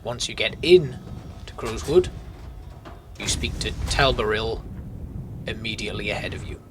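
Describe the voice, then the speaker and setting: A man's voice: on the low side, normal speed, a little hiss or hum. A man giving informal, explanatory commentary as the host of a video game walkthrough. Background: music.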